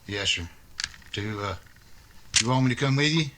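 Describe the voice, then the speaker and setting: Nervous sidekick deputy for radio western, male actor: medium pitch, halting, respectful, earnest, slightly noisy track. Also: animated, lightly acted. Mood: meek, uneasy. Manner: hesitant, stammering. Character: timid, loyal, deferential deputy in a western. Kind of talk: old-time radio western acting.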